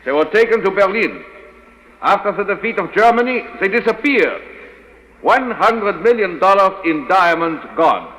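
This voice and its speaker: A man's voice: sits high, big pitch swings, moderate pace, sharp tone, heavily acted. A man playing a grave, precise Dutch government representative giving a briefing, in 1940s radio style. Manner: formal, precise. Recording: long echoing hall reverb.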